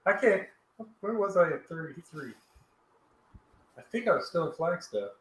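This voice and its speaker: Co-host, male, 40s, higher-pitched, jokey needler, loose chatty delivery. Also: very animated, normal speed, rough recording. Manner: teasing, jokey. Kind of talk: casual chat.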